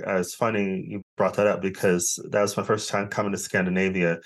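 Low-voiced man interviewing a guest. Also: a bit bright. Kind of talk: interview conversation.

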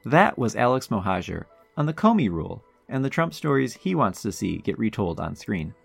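A man's voice: lowish voice, very animated, normal speed, creaky, slightly rough, faint music behind. An American man hosting a podcast, talking in a conversational way. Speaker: podcast host. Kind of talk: conversational interviewing.

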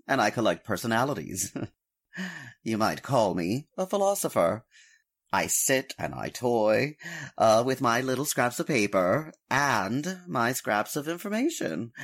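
A man acts out an urbane, self-satisfied gentleman of leisure in an old-time radio mystery. His voice is husky and swings widely in pitch as he picks his words with fussy, affected precision. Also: very animated, a little slow, full character voice. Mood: smug, amused. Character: urbane, smug gentleman stamp collector who relishes gossip.

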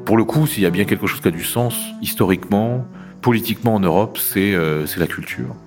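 Very deep-voiced man, reflective. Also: a little fast. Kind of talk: spontaneous interview answer. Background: faint music.

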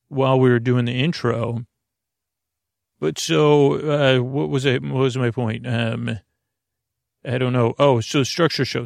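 A man hosting a bedtime podcast in a halting, meandering monologue with a creaky voice that drifts onto tangents. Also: medium pitch, dry recording, clean studio sound. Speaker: podcast host.

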